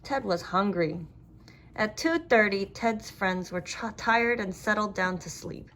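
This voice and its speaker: Expressive, animated woman reading a storybook. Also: mid-range voice, unhurried. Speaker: storybook reader. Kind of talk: children's read-aloud narration.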